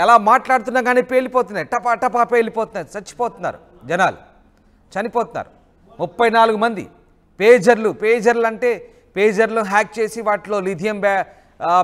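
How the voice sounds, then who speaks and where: A man's voice: high voice, fairly bright tone. A male news anchor commenting on footage in a dramatic, urgent manner, often posing rhetorical questions.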